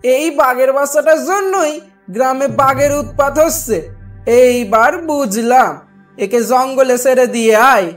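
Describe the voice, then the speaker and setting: Lady doing a firm worried village parent in a cartoon, highish voice, asks and tells off, music behind. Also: moderate pace, lightly acted. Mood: scolding. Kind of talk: Bengali cartoon voice acting.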